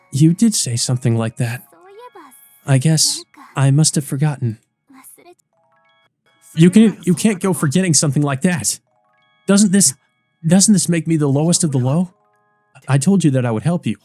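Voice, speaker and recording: A man's voice: fairly high pitch, expressive, normal speed, pauses often, mellow, soft background music, pitched above the performer's own voice. Man acting a desperate, stammering young man. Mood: anguished, guilty. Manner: stammering, pleading. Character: desperate, devoted, grief-stricken young man. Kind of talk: visual novel character reading.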